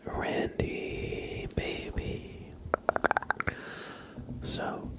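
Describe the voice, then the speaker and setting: A man hosting an ASMR livestream, whispering softly and casually close to the microphone, drawing out and repeating words. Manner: soft, drawn-out, repeats words slowly.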